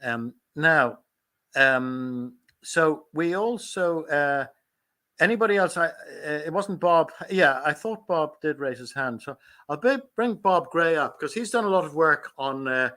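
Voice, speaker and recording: A man's voice: on the high side, expressive, measured pace, pushed and bright. A man hosting an online meeting, friendly and stammering with repeated words. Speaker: meeting host. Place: lives in Ireland.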